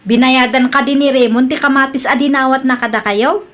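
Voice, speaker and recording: A woman's voice: sits high, fairly even intonation, fairly quick, fairly bright tone. An actress playing an upright, plain-spoken village woman who scolds a cheat in an Ilocano comedy radio drama. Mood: indignant, reporting.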